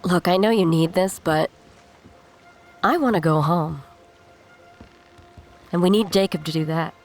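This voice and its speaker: A woman voicing a weary mother, pleading earnestly and haltingly to be allowed to go home. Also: lowish voice. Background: background music.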